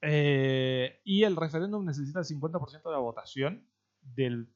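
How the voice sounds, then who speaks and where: A man chatting casually as a podcast co-host, thinking aloud in a halting way. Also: a little high, muffled recording. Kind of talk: casual conversational chat.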